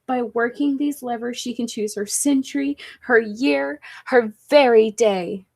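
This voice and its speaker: A woman acts out an eccentric lady scientist in a vintage-style radio play. Her voice sits fairly high and moves slowly and deliberately. She declaims with complete confidence in her own invention. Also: a little mellow. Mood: proud, earnest. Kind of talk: 1940s radio play acting.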